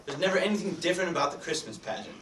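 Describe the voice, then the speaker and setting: A man's voice: high voice, rapid-fire, close to an everyday voice. A man playing a stubborn, weary father in a staged readers theatre piece. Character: stubborn, weary father preferring his fireside. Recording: echoey room, distant mic, faint noise.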